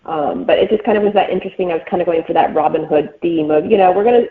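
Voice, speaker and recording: A woman's voice: fairly low pitch, very fast, phone-quality sound. A woman speaking as an author guest in a casual interview, explaining things informally with frequent filler phrases. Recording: thin band-limited call sound.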